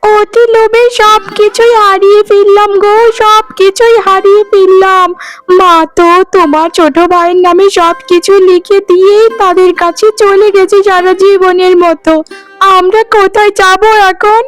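A woman's voice: very high-pitched, flat delivery, moderate pace, poor audio. A woman voicing a greedy village wife in a melodramatic cartoon, lamenting in wailing, self-pitying regret. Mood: wailing, despairing. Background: plucked-string music.

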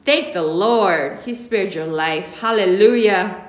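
A woman's voice: mid-range voice, slow, close to an everyday voice. A woman reading a storybook voices a cranky, theatrical grandmother who curses and bosses, in a roomy classroom. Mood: dramatic relief. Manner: emphatic, bossy, theatrical. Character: dramatic, cranky, cursing grandmother, retired theater teacher.

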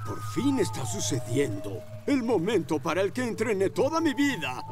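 A man voicing an earnest, self-important police motorcycle in a children's cartoon, speaking in a deliberate, officious way. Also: high-pitched, wildly swooping pitch. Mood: officious, excited. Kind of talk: animated children's cartoon dubbing. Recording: music behind the voice.

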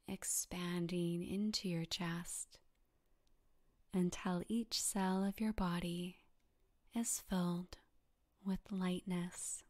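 A woman softly guiding a meditation in a breathy, half-whispered voice, calm and soothing, with gentle instructions and long pauses. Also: lowish voice. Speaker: yoga nidra meditation guide. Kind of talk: guided meditation narration.

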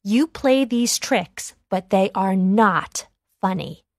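A woman voicing a stern villager in a children's storybook, admonishing in a measured way. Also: mid-range voice, expressive, no room sound, studio quality. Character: stern, reproving group of adult villagers.

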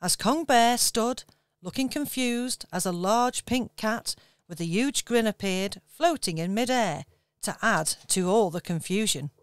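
A woman narrating a children's radio pantomime in clear, steady storytelling. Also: medium pitch, measured pace, no room sound, clean studio sound.

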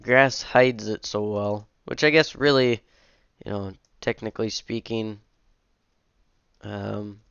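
A man's voice: on the low side, fairly even intonation, slow, gravelly, long pauses, fairly bright tone, clean tone, no echo, highs cut off. A young man with a cold and a sniffly voice, casually rambling through a commentary as he edits a game map, with lots of fillers and self-corrections. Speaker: modding streamer. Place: home room at a computer. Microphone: headset.

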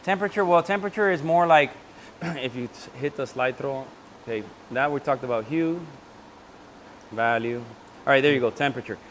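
A man's voice: medium pitch, normal speed, a bit bright, a little hiss or hum. Loose, jokey male paint class host. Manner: loose and jokey, trailing off mid-sentence. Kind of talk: casual workshop teaching. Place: indoor event room before a backdrop. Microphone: lapel mic.

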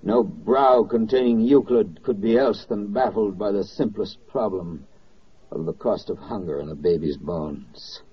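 A man's voice: fairly low pitch, husky, exaggerated voice. A man performing as a solemn, poetic narrator in a 1940s wartime radio drama, with an oratorical delivery. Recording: muffled old 1940s radio recording.

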